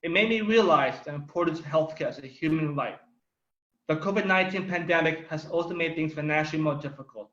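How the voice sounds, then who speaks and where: A young man whose first language is Thai gives an earnest, emphatic personal testimony, slowing down to stress key words. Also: on the high side, a bit bright. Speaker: community speaker. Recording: roomy, dull lo-fi recording.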